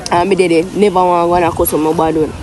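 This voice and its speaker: A young man with a Jamaican accent telling stories casually to friends in an animated, repetitive, slangy way. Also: high voice, quick pace. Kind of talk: casual storytelling among friends. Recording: noisy outdoor recording with traffic.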